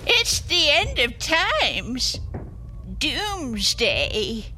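A woman acting a prim, devout, excitable older small-town library keeper in an audio drama. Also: high voice, very slow, slight background noise, full character voice. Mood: stern, preachy. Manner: prim, fretful, formal wording.

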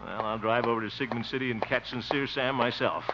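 A man's voice: medium pitch, fairly even intonation, a little fast, natural voice. An actor playing a blustery, exasperated, comically pompous small-town man in a 1950s radio comedy, sputtering and grumbling. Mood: grumbling to himself. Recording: very noisy muffled old radio recording.

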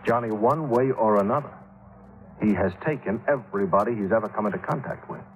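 An actor playing a worried, exasperated insurance executive with an American accent, earnest and emphatic, in a 1950s radio drama. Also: male voice, fairly low pitch, fairly quick, lightly acted. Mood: grumbling, worried. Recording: muffled vintage 1950s radio recording.

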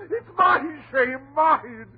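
A man's voice: extremely high pitch, phone-quality sound, exaggerated voice. An actor playing a distraught, desperate husband devoted to his wife, in melodramatic, pleading 1930s radio horror style. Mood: frantic, defiant. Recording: noisy, band-limited 1930s radio recording.

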